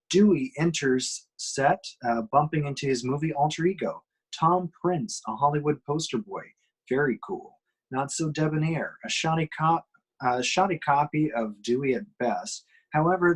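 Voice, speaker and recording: A man narrates the action lines of a film script at a home table read. His voice is mid-pitched and noticeably husky. The delivery is scripted narration. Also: poor audio. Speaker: reader of stage directions.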